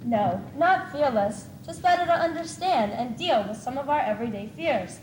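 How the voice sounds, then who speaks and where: A woman's voice: high-pitched, lively intonation, normal speed. A young teenage girl acting as the earnest presenter of a school stage play. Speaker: student presenter. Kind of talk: scripted stage narration. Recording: echoey, faintly noisy old school tape.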